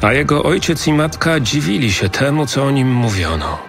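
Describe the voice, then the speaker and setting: A man narrating scripture from a script in a measured, solemn way. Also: medium pitch, very animated, vocal fry, fairly bright tone, faint music behind.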